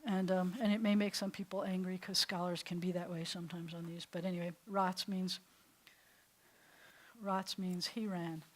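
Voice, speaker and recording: A woman rabbi teaching a beginners' Hebrew class in a loose, chatty way, often restarting her sentences and sounding words out slowly. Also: fairly low pitch, level tone. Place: classroom or congregation hall.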